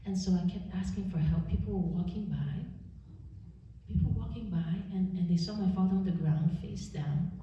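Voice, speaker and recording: A woman in her forties from Canada giving an earnest, measured personal testimony at a church service, pausing often. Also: fairly low pitch, mellow. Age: 40s. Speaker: church speaker. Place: church sanctuary. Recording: roomy church, distant mic, dull sound.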